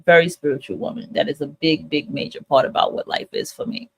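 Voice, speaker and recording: A woman's voice: sits low, fairly even intonation, a little fast, gravelly, breathy edge. Candid, emphatic woman streamer. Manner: candid, emphatic, repeats phrases, swears freely. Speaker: host of a spiritual advice livestream. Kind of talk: casual, informal motivational monologue to listeners.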